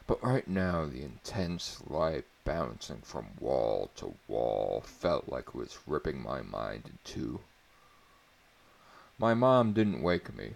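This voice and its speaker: Guy doing a mock-serious dramatic book reading, really low voice w lots of gravelly fry. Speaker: reader performing a book chapter. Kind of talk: dramatic reading of first-person prose, theatrical narration.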